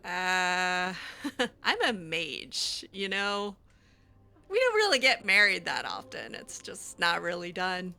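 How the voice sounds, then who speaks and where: A woman doing casual, improvised in-character roleplay during a game, with an expressive, sassy, blunt manner. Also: on the low side, takes it slowly, gravelly. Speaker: gameplay roleplayer voicing own character's replies.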